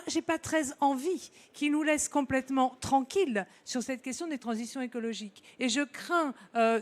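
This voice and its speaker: Woman mayor at a conference mic, fairly high voice, halting but deliberate. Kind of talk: spontaneous remark from the floor. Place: conference room. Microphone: handheld audience microphone.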